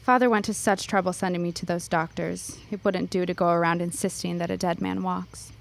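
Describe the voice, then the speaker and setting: A woman's voice: fairly low pitch, fairly even intonation, fairly quick, creaky. A woman playing a fearful, serious teenage schoolgirl in a period radio drama, speaking formally and with reserve. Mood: haunted, fearful. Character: Nancy, guarded, fearful, serious schoolgirl in her teens.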